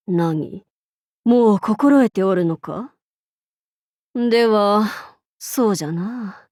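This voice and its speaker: An imperious female demon king from a video game. She talks slowly, in archaic and lordly phrases, haughty yet amused. The recording is close and very dry. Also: on the high side, a little mellow, no room sound. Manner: archaic, lordly, commanding speech. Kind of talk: Japanese game character voice lines.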